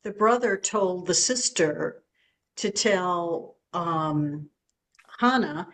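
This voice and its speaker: A woman in a book club thinking aloud in a hesitant, halting way on a video call. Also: fairly low pitch. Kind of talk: casual group chat.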